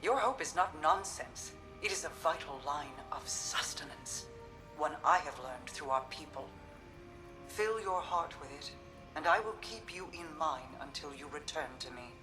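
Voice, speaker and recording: A woman playing a guarded, regal queen and protective older sister in a video game, speaking formally in measured, hushed phrases. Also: low voice, animated, over music, low-quality recording. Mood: tender, solemn. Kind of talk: dramatic game dialogue.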